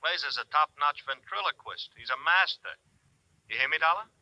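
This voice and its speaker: An actor playing a gruff, impatient male talent agent on a telephone in a 1950s radio drama, blunt and sarcastic. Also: a little high, lively intonation, normal speed, bright, forceful tone, no room sound. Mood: irritated, sarcastic. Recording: dull, dry 1950s radio phone-call recording.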